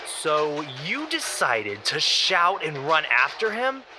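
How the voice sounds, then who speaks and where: A man's voice: on the high side, big pitch swings, takes it slowly, noisy background. A male actor playing a stern, exasperated boss in an audio drama, formal and reproving. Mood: firm, reproving. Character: exasperated, officious male boss firing an employee. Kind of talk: audio drama dialogue acting.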